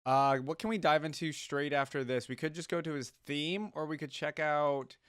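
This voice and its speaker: A man's voice: a little high, fairly even intonation, moderate pace, fairly bright tone, clear, resonant tone, no room sound, clean studio sound. A man hosting a reaction video, talking casually as he comments along.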